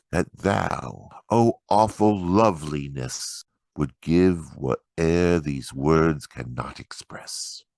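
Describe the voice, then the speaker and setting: A man reciting poetry dramatically, with solemn, measured phrasing and deliberate pauses. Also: low-pitched, dry recording. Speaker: poetry reader.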